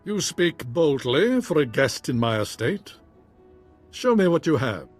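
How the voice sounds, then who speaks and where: Haughty baron with expressive pitch. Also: male voice. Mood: stern, wary. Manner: formal, measured, imperious. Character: haughty nobleman baron, cautious and commanding. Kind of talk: dramatic video game acting. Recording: music behind the voice.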